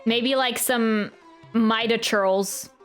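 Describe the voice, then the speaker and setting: A woman's voice: fairly high pitch, steady tone, very slow, fairly bright tone. A young woman reacting casually as she streams a game playthrough into a close mic. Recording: game music behind the voice.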